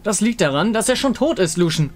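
A man's voice: sits high, lively intonation, fairly quick, pitched above the performer's own voice. A man voicing a stern, impatient female warrior who speaks with exasperated force in a game story. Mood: indignant.

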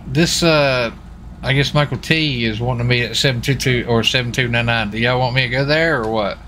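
A man's voice: medium pitch, fairly quick. A man chatting casually in a roleplay game as a US Marshal, rambling and repeating himself. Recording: steady game vehicle noise behind voice. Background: game car and city sounds.

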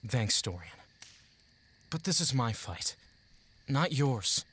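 A man's voice: mid-range voice, big pitch swings, slow, a bit bright, breathy edge, full character voice. An actor playing a grieving, vengeful young man in an audio drama, speaking with emotional intensity. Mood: grave, resolute.

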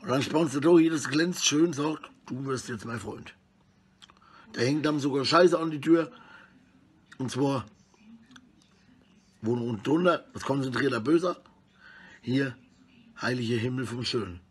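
A middle-aged man talks into his phone in a room at home. His voice is husky and unclear at a medium pitch. He rambles casually in coarse slang, often tacking on little tag questions. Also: unhurried, pauses often. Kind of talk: rambling casual selfie monologue. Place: small indoor room at home. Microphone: phone at arm's length.

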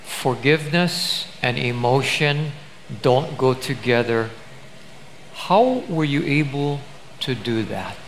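A man's voice: mid-range voice, animated, takes it slowly, a little hiss or hum. Older male pastor, emphatic and conversational. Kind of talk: sermon interview on stage. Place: large church stage.

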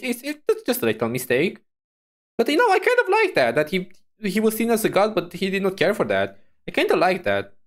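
A woman reacting to a video as a VTuber, musing aloud in a casual, hesitant, repetitive way. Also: lowish voice.

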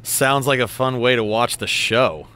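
A man's voice: mid-range voice, a little slow, sharp tone, clean tone. An actor playing a chatty, patient podcast host in a video game, a wryly exasperated straight man.

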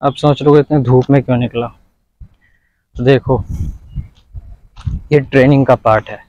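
A man's voice: mid-range voice, fairly even intonation, normal speed, a little mellow, dry recording, lo-fi sound. A man vlogging as he walks outdoors, chatting to viewers and giving them casual, instructive advice. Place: outdoors, walking in the sun.

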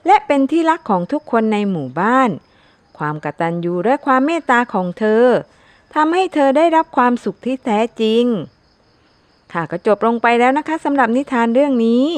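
Female storyteller, higher-pitched voice, close dry recording, for scripted children's folktales. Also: no room sound. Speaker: storyteller, channel host.

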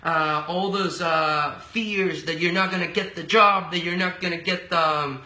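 A man's voice: a little high, steady tone, normal speed, bright, forceful tone. A man in his thirties with a Venezuelan accent, a guest speaking earnestly in a casual interview, often restarting his words. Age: 30s. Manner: earnest and upbeat, many restarts and stammers. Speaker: guest, radio and TV personality. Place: small indoor office room. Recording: echoey small room.

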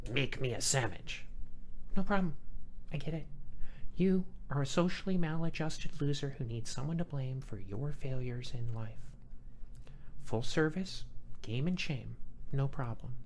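Deep-voiced, sardonic woman delivering a monologue. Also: animated, darkish tone, slight background noise. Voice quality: deep, bass-boosted voice. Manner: dry, sardonic, dramatic pauses. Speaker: video creator and host of a retro gaming channel. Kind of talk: scripted monologue channel update.

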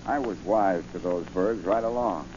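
An actor playing a boastful, self-satisfied police commissioner, pompous and smug, in 1940s radio style. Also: male voice, low-pitched, moderate pace, close to an everyday voice. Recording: noisy, muffled 1940s radio recording.

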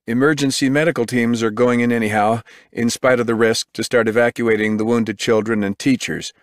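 A tense TV field reporter talks in a raised, urgent voice, pitched well above the reader's own, as a narrator puts him on. The sound stays dry and close. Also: male voice, fairly even intonation, brisk, dry recording, close to an everyday voice. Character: male on-scene TV reporter, tense, breathless.

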